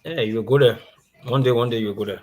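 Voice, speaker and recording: A co-host joins a live stream chat with a mid-pitched voice. The talk is light, teasing banter. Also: a little fast, a little mellow. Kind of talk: casual chat livestream.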